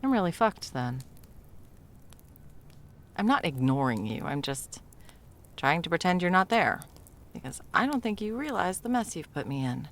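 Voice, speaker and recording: A woman's voice: on the low side, very animated, moderate pace, long pauses. A woman playing a frazzled, sarcastic, foul-mouthed Christmas delivery elf in a whimsical comedic ASMR roleplay, muttering and often dropping to whispers. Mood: exasperated.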